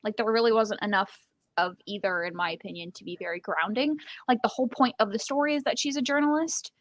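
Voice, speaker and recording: A woman's voice: medium pitch, fast, no echo. A woman co-hosting a casual book discussion in a conversational, dryly wry way. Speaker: book podcast co-host.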